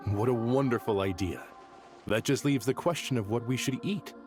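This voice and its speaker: A man's voice: medium pitch, moderate pace, darkish tone, soft background music, close to an everyday voice. A man playing an earnest, polite scholarly aide in a video game, speaking formally and deferentially. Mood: polite, pleased.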